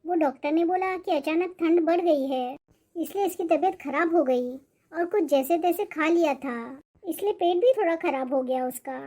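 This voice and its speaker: A woman's voice: very high voice, moderate pace, warm tone. A woman voicing a put-upon young mother and daughter-in-law in a cartoon family drama, polite but aggrieved. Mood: polite, dutiful.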